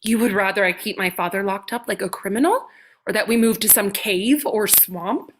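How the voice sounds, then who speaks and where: A woman's voice: medium pitch, normal speed, slight background noise, natural voice. A woman playing a stern, mysterious guardian of a shapeshifter, solemn and commanding, in a comedy horror reading. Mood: defiant. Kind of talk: comedic horror script table read.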